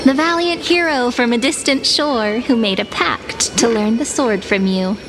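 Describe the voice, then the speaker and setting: An actress playing a gentle, playful young village girl in an anime-style game, affectionate and motherly in her teasing. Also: on the high side, measured pace, background noise. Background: music.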